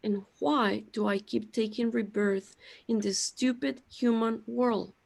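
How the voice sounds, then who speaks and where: Audio drama role: woman as puzzled, forgetful immortal, unhurried delivery, high-set voice, peevish questions. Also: creaky, a little mellow. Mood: bewildered, peevish. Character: bewildered, peevish immortal being with lost memory. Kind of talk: scripted audio drama acting.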